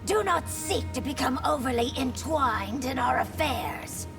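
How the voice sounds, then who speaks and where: Proud young empress, high voice, stern, imperious, wary, loud game score underneath. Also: female voice, animated, a little slow, gravelly, over loud music, exaggerated voice. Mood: stern, challenging. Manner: firm, imperious. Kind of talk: video game character dialogue.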